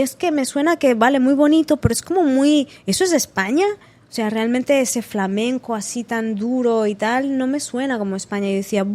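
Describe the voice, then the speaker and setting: Spanish-accented woman from Catalonia, singer as podcast guest; higher-pitched, animated storyteller. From Catalonia, Spain. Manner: lively storyteller, acts out quotes, frequent restarts and laughter. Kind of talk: casual interview chat.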